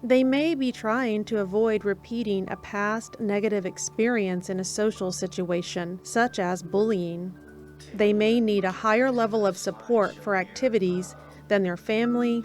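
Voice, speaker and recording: Woman reading documentary narration, steady and measured, soft music under it. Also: medium pitch. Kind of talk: scripted narration.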